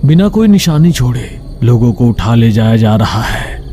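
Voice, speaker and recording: A man's voice: medium pitch, very animated, moderate pace, dark tone, a little hiss or hum. A man narrating a scripted horror story.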